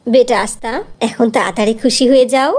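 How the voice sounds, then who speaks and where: A woman's voice: a little high, a little fast, a little mellow, lightly acted. A woman voicing a loving, reassuring fairy mother in a cartoon fairy tale, speaking tenderly and patiently. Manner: tender, soothing, explaining patiently.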